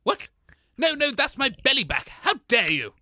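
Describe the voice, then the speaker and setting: A touchy radio co-host with a bright, lively, jumping voice. The speech stammers and blurts, recorded dry and close in an audio comedy. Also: high-pitched, moderate pace, gravelly, no room sound, full character voice. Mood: indignant, flustered. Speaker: show host.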